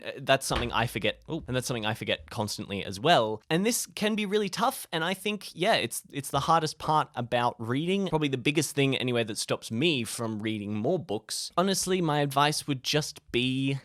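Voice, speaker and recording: A man's voice: mid-range voice, animated, fairly quick, few pauses, a bit bright, no room sound, clean studio sound. A man giving casual advice to camera in a playful, self-deprecating way with comic asides. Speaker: YouTube creator sharing tips.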